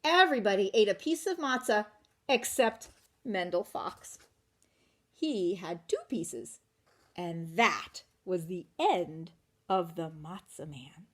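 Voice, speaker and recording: A woman reading a children's picture book aloud in an animated way, stopping to ask listeners questions. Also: medium pitch, takes it slowly. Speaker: children's storytelling teacher.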